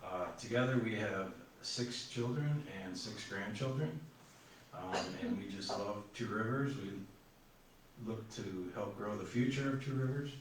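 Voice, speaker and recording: A man's voice: lowish voice, a little slow. Matter-of-fact older man, echoey hall. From Wisconsin. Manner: plain, matter-of-fact, with fillers. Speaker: city council candidate. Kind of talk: candidate forum introduction. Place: public forum room. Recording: echoey hall, distant mic, faint noise.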